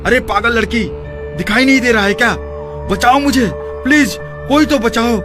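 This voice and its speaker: A man's voice: very high-pitched, normal speed, music in the background. An actor playing a cheeky, brash young college man in a cartoon story. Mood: panicked. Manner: mocking, brash.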